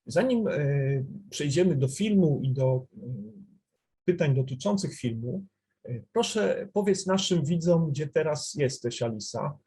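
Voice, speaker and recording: A man interviewing a guest with measured, polite questions and pauses between phrases. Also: fairly high pitch, animated, mellow, breathy edge. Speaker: festival TV interviewer.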